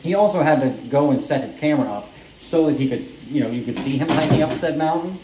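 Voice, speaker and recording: A man's voice: a little high, fast talker. A man casually narrating while he cooks in a small home kitchen, rambling and self-deprecating, recorded on a camera set up across the room with frying sizzling behind him. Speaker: home cooking vlogger. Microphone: camera mic set up across the kitchen. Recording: echoey kitchen, faint frying noise.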